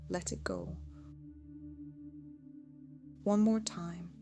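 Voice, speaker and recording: A woman narrating a guided meditation in a soothing, measured, hypnotic way, with phrases trailing off into a hush. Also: on the low side, big pitch swings. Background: music.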